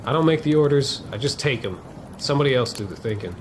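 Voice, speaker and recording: A man playing a seasoned, unsympathetic trooper who follows orders, in a western dramatization. He speaks at a middling pitch with folksy, dismissive phrasing. Faint noise sits behind the voice. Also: expressive, fast talker, close to an everyday voice. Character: obedient, unsympathetic veteran cavalry private. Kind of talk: historical dramatization dialogue.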